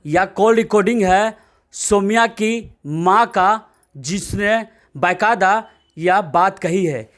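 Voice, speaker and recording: A man's voice: high voice, takes it slowly, a bit bright. A man reporting from the field, speaking to viewers in an emphatic, dramatic way full of rhetorical questions. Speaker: news reporter. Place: at a family's home.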